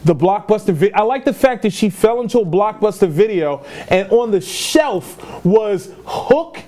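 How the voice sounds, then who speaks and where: A man in his thirties co-hosting a film review podcast, chatting casually and telling animated, joking stories. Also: high-pitched, measured pace. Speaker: podcast co-host reviewing films. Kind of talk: casual chat.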